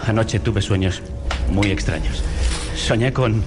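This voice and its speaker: A man's voice: on the low side, a little slow, natural voice. A man playing a polite, uneasy young solicitor in a radio drama, naturalistic and a little hesitant. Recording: music behind the voice.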